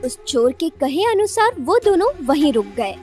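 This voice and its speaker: A woman's voice: high-pitched, very animated, normal speed, a little mellow, faint music behind. A woman narrating a scripted moral story in storybook style.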